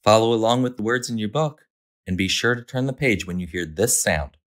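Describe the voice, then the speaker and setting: A man's voice: lowish voice, animated, a little fast, a bit bright. A man narrating a children's picture book as a storytime host, expressively, drawing out key words for effect.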